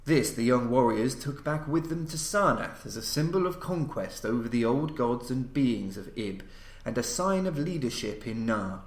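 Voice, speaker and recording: A man reading a scripted horror story as a podcast host, in a formal, measured storytelling delivery. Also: mid-range voice, lively intonation. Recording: roomy, echoing voice recording.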